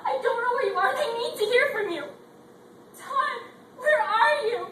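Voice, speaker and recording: A young woman acting as a frantic young wife and mother, high-voiced and pleading, her fear for her missing husband always near. It is caught from far off in an echoey hall, with heavy noise around it. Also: moderate pace. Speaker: readers theatre performer. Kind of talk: student readers theatre acting. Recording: echoey hall, distant mic, loud noise.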